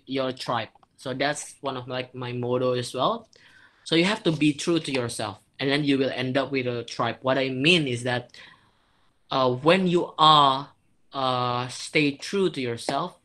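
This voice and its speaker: A young man with an Indonesian accent, speaking haltingly with many fillers and restarts as he shares tips in an informal virtual talk. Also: medium pitch, sharp tone. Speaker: former exchange student speaker.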